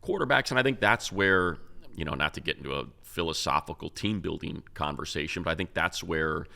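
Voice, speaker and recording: A man's voice: very deep voice, brisk, creaky, pushed and bright. An American man co-hosting a sports show, giving unscripted football analysis in an emphatic, rambling, conversational way.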